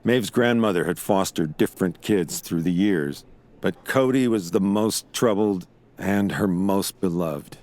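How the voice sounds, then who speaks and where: Deep-voiced, level-headed investigator. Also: male voice, a little slow, a little mellow. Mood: narrating, reflective. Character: calm, level-headed male investigator and narrator of mystery. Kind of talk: audio drama acting and scripted narration.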